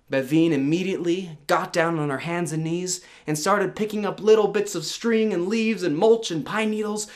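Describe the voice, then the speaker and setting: Very animated male storyteller. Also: fairly high pitch. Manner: dramatic, theatrical, tongue-in-cheek. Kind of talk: animated live folktale storytelling.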